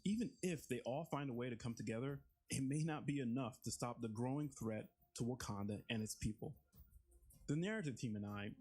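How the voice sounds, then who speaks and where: A man's voice: mid-range voice, darkish tone. A man giving a scripted promotional presentation as a video game writer. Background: background music.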